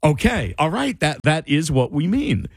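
A male radio host in casual on-air chat, animated and incredulous, his voice starting to go. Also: fairly high pitch, slightly rough, no room sound, clean studio sound. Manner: animated, incredulous, quick asides. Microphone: studio microphone.

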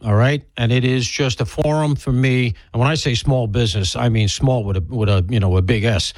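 Teasing older man, deep Southern drawl. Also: steady tone, a little fast, darkish tone, no echo. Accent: Southern US, Alabama. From Alabama. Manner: wry, teasing, self-deprecating. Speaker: show host. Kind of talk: joking interview banter.

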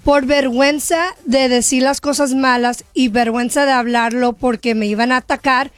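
High-voiced bilingual woman on radio. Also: steady tone, moderate pace, no echo. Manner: earnest, encouraging, mixes in English words. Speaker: radio co-host. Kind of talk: casual radio banter.

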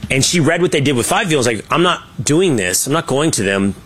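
A man's voice: mid-range voice, sing-song, brisk, fairly bright tone, a little hiss or hum. A man hosting a political talk show, giving opinionated, emphatic commentary with frequent restarts.

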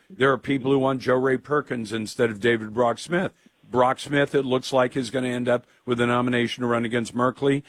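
A man hosting a talk radio show and taking calls, hoarse from a cold, opinionated and conversational. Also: medium pitch, a bit bright, no room sound. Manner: opinionated, conversational, quick to jump in. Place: radio studio. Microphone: studio microphone.

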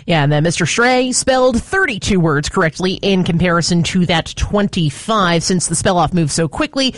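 A woman's voice: on the low side, lively intonation, normal speed, no echo, studio quality. A woman anchoring a morning news show, reading headlines and chatting with jokey asides.

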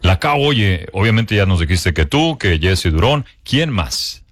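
Male interviewer, low register, dry close recording. Conversational, affirming, somewhat halting in his questions. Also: sing-song, no room sound.

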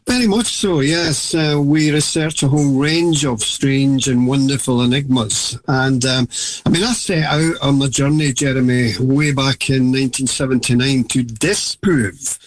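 A man's voice: mid-range voice, unhurried, rough recording. Chatty Scottish man as interview guest. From Scotland. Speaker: guest researcher and author.

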